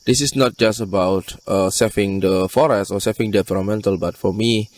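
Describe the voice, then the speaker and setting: A man from Borneo with an Indonesian accent, giving earnest, halting interview answers in non-native English. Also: on the low side, fairly even intonation, no room sound, far from the mic. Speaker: conservation group leader being interviewed.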